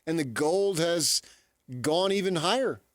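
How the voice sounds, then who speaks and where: A man's voice: fairly high pitch, measured pace, no echo. A man co-hosting a sports radio show, talking casually from a studio on a close mic. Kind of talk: casual sports talk banter.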